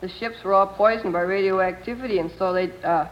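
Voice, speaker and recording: A male quiz panelist, answering off the cuff in a halting, stammering way. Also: sits high, steady tone. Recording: muffled, noisy 1940s radio recording.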